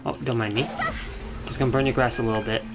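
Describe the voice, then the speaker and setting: A male game streamer talks casually through his play. His voice has an average pitch, and he rambles as he thinks out loud. Game music plays clearly behind him. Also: steady tone, quick pace. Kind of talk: casual live gameplay commentary. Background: video game music.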